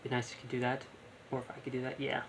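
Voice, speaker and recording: A man's voice: medium pitch, monotone, very fast, vocal fry, a little hiss or hum. A young man giving casual live gameplay commentary, rambling and thinking aloud. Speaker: gaming streamer. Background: game music.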